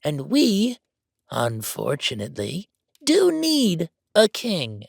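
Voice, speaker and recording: Pompous, higher-pitched haughty count. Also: male voice, wildly swooping pitch, slow pace, vocal fry, dry recording, clean studio sound, exaggerated voice. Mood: defiant, lecturing. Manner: pompous, formal, clipped condescension. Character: haughty, blunt male count, pompous fantasy noble. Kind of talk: villain roleplay audio drama.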